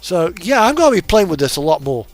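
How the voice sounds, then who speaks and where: A man's voice: sits high, big pitch swings, fast talker. A British man chatting casually and musing off the cuff while he plays a driving game. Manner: rambling, musing, unscripted. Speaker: streamer playing a truck driving simulator. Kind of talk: casual chat while gaming. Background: radio music and truck game engine sound.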